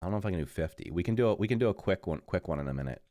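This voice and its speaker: Low-voiced congested man chatting on stream. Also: animated, rapid-fire, warm tone, no room sound, clean studio sound. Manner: rambling, self-correcting, mutters while busy. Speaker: livestreaming gamer. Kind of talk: casual live stream chat.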